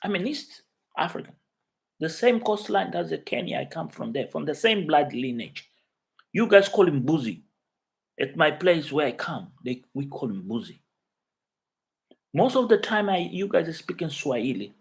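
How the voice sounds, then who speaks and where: A man from Mozambique, whose first language is Chuabo, hosting a casual live stream from his living room and chatting with viewers in halting English full of fillers and restarts. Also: on the high side, very animated, creaky. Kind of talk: casual live chat reading comments.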